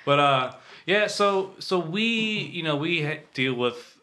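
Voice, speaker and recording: Bright-voiced man hosting a podcast. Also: fairly high pitch, expressive, takes it slowly. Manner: loose and rambling, lots of fillers. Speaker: podcast host. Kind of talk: casual podcast chat.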